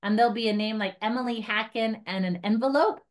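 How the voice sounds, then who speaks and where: A woman's voice: fairly high pitch, fairly quick. A woman trainer walking guides through a device step by step in an informal, chatty way, with light self-mocking asides. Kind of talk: informal hands-on device tutorial.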